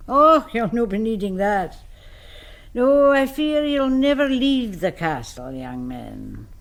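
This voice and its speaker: A woman's voice: a little high, big pitch swings, slow pace, exaggerated voice. An actress playing a stern Scottish governess in a radio drama, prim and darkly matter-of-fact as she serves her vampire household. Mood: grimly matter-of-fact. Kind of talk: 1970s radio drama acting.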